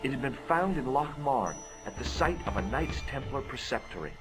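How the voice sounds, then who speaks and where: An actor playing a curious, dryly sarcastic young amateur sleuth in an adventure game. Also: male voice, medium pitch, lively intonation, moderate pace, over music, close to an everyday voice. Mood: narrating. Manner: dry, sarcastic. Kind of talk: adventure game voice acting, part narration. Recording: noisy game audio capture.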